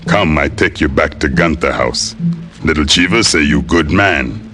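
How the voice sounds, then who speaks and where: An actor in a 1950s radio drama playing a huge male Haitian servant who speaks slow, broken English. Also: extremely low pitch, pushed and bright, soft background music. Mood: solemn. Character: huge hulking male Haitian servant, menacing then loyal.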